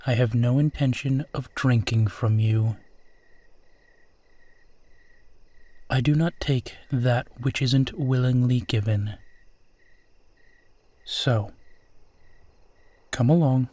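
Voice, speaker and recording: A man playing a suave, centuries-old vampire in a roleplay audio drama, speaking formally, with old-fashioned courtesy and gentle teasing. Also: mid-range voice, fairly even intonation, slow, pauses often, no room sound. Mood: firm, reassuring. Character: suave, courtly centuries-old male vampire who looks twenty-eight.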